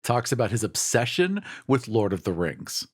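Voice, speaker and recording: A man's voice: mid-range voice, very animated, normal speed. A man talking casually and animatedly in a podcast discussion, acting out imagined quotes as he goes.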